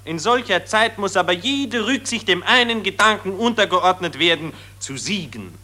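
A solemn, high-flown patriot lectures on glory and great times. His voice is bright and forceful and sits a little high. He preaches more than he talks. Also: lively intonation, normal speed, exaggerated voice. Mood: lofty. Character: pompous patriotic male optimist, lecturing.

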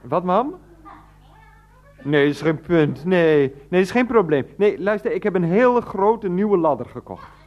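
Pleading, swooping grown son. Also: male voice, sits high, big pitch swings, measured pace. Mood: coaxing. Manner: stammering, coaxing, often interrupted. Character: pleading, wheedling grown son phoning his mother. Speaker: radio drama actor. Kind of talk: 1990s Dutch radio play acting. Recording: dull, band-limited radio recording.

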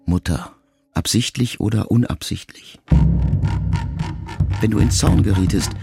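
Low, gravelly, reflective grown son. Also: male voice, unhurried, loud music behind the voice. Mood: sombre, remembering. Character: reflective grown son addressing his mother, sombre and tender. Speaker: radio play actor. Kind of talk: intimate literary radio play monologue.

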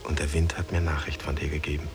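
An actor playing an ardent, earnest adventurer and lover in a 1950s German radio play. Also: male voice, extremely low pitch, level tone, fast. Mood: tender, enraptured. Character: Abu Mohammed, adventurous male hero, ardent lover. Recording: old radio tape, loud music behind.

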